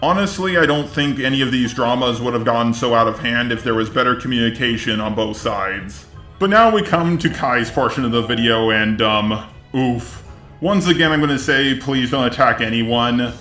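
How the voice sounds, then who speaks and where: A man reads scripted commentary in a measured, conciliatory manner. His voice sits at an average male pitch and sounds roomy and echoing, with faint music underneath. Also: pushed and bright. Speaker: YouTube commentator.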